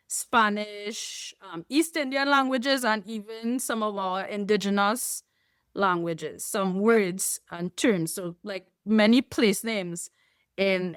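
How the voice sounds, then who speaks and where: A woman from Trinidad and Tobago with a Trinidadian accent, explaining things in a measured way as a podcast guest. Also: medium pitch, fairly bright tone, clear, resonant tone, no echo, studio quality. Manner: explanatory, measured, with light laughs. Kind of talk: podcast interview.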